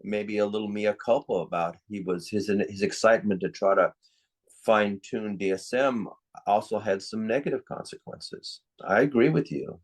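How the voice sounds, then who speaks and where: A man's voice: fairly low pitch, normal speed. An older man speaking as a psychiatrist in an interview, giving his opinions in a reflective, conversational way.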